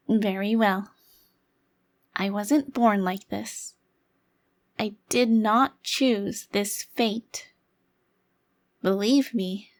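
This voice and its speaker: Woman playing a slow-spoken, haughty child-bodied ancient vampire. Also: medium pitch, natural voice. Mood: cold, disdainful. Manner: formal, deliberate, haughty. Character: centuries-old vampire crime lord in a child's body, haughty. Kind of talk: ASMR roleplay monologue.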